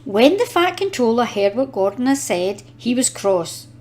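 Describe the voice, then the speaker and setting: Animated high-voiced woman reading a children's story. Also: moderate pace. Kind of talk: expressive scripted storybook narration.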